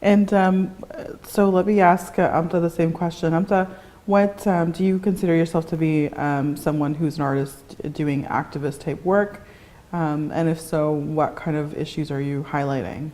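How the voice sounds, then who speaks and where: Low-voiced rambling woman host. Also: fairly even intonation, normal speed, dark tone. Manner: rambling, repeats phrases, frequent fillers. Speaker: talk show host. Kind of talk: casual interview hosting.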